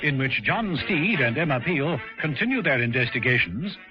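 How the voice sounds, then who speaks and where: A man narrating a radio drama in a measured, storytelling way. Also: fairly high pitch, lively intonation. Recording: dull vintage radio recording with music.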